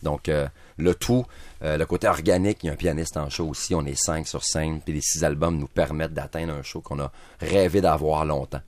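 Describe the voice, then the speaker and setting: A man's voice: extremely low pitch, brisk, a little mellow. A man with a Québécois French accent, a band member guesting on a radio show, talking in an upbeat, joking way. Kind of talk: casual radio interview.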